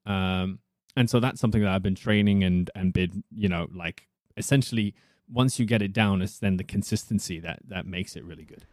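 A man from South Africa, an actor chatting casually on a podcast with a husky, soothing voice and a thoughtful manner. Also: sits low, brisk, few pauses, darkish tone, no room sound, clean studio sound.

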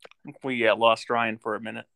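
A man from the United States hosting a casual movie podcast, halting with many ums and frequent laughs. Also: medium pitch, flat delivery, creaky, noisy background. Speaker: podcast host.

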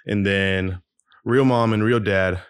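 Young man from Peru, low smooth voice, guest telling his life story in a candid, halting way. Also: fairly even intonation, no echo, clean studio sound. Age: 20s. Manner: open, reflective, many ums and restarts. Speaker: podcast guest. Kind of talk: casual interview storytelling. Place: guest's home.

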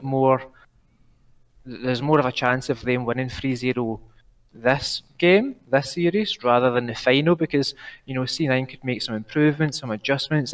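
A nervous man with a Glaswegian accent, calling in to give an esports prediction, talking fast while trying to slow down and pronounce clearly. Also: medium pitch, recorded from a distance. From Glasgow, Scotland. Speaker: caller.

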